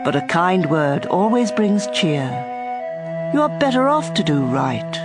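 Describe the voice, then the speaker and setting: Slow-paced woman reading scripture over music. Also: on the low side, very animated. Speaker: audio Bible reader. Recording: prominent background music.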